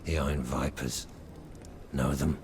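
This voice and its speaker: Very deep-voiced gruff bounty hunter. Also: male voice, fairly even intonation, a little slow, a little mellow, slight background noise. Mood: probing. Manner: terse, blunt, hard-boiled. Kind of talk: video game cutscene acting.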